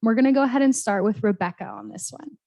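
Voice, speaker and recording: A woman's voice: fairly high pitch, fast, gravelly, mellow, no room sound, studio quality. A woman hosting a live conference event as moderator, introducing things to an audience. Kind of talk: hosting a panel and raffle.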